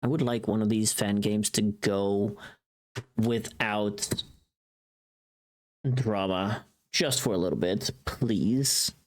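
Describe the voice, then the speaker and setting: Casual livestream host, medium pitch, loose rambling chat, often muttering to self between tasks. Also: slow, pauses often. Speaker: livestreamer, voice actor.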